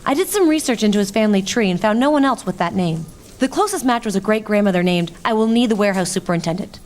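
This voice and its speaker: A woman's voice: mid-range voice, animated, fast, darkish tone, lightly acted. A woman playing a skeptical, earnest newspaper reporter sidekick, matter-of-fact and wry, in a comic audio drama. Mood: earnest, urgent. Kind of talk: comic radio drama acting.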